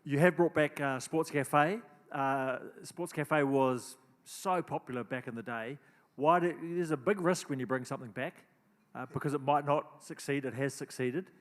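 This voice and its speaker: A man's voice: fairly high pitch, normal speed. A man asking conversational questions as the interviewer at an on-stage event. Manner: conversational, hesitant with fillers. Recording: reverberant hall sound.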